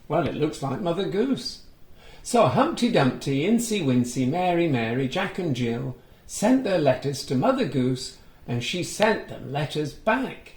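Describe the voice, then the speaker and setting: A man reading a children's storybook aloud, expressively, with gentle chatty asides to young listeners. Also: on the high side, reverberant.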